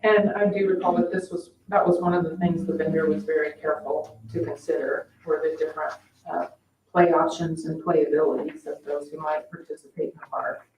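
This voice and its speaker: A woman's voice: low voice, steady tone, a little fast, darkish tone. A woman participant making conversational remarks in a board meeting. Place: indoor town board meeting room. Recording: echoey room, distant mic, faint noise.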